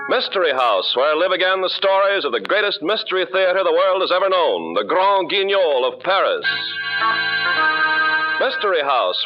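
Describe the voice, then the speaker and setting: A man announcing a radio show in scripted 1940s style. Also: high-pitched. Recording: muffled old radio recording with loud music.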